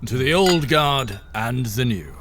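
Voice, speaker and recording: A man's voice: mid-range voice, lively intonation, a little slow, a bit bright, a little hiss or hum. A man playing a jovial, hard-drinking aging king in an audio drama, wry and used to command. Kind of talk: full cast audio drama acting.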